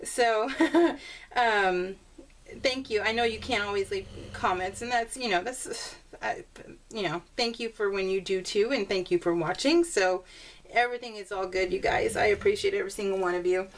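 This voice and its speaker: A woman with a mid-pitched voice talks to her viewers like friends in a casual craft vlog. She is bubbly and chatty, and her thoughts wander, trail off and circle back. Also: moderate pace. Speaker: crafting vlogger. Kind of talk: casual rambling chat to viewers.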